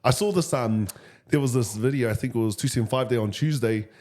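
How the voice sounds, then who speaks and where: A male podcast host with a New Zealand accent and a medium-pitched voice. He interviews in a casual, matey way, full of enthusiasm and informal slang. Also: quick pace, a little mellow.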